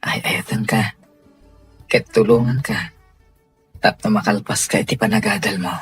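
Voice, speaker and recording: A man playing a sincere young gentleman employer in an Ilocano radio drama, with an Ilocano accent and a breathy voice. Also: on the low side, expressive, normal speed, faint music behind. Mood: tender, earnest. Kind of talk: Filipino radio drama acting.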